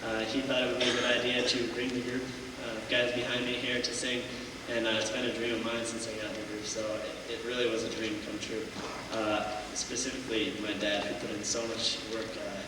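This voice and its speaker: A young college singer giving halting onstage thanks, with many ums and uhs. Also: male voice, medium pitch, flat delivery. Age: young adult. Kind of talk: casual onstage speech. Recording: echoey hall, mic far off, loud noise.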